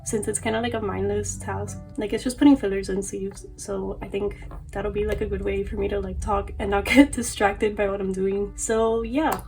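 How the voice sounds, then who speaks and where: A young woman chats casually to her camera, rambling with plenty of fillers and little laughs. Her voice carries some fry and sounds slightly off from allergies. The pitch sits in a middle range for a woman. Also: fairly even intonation, normal speed, a little mellow, soft background music. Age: young adult. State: voice a bit off from allergies. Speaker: collector vlogger.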